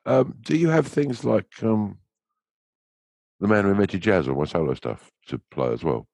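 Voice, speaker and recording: A man's voice: on the low side, expressive, normal speed, gravelly, darkish tone, no echo. An English man, a musician, chatting casually as an interview guest, rambling through anecdotes with lots of restarts. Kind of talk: casual chat.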